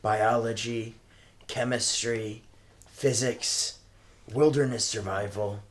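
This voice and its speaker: A man player introducing his tabletop character in a slow, measured, dramatic delivery. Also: lowish voice, fairly even intonation.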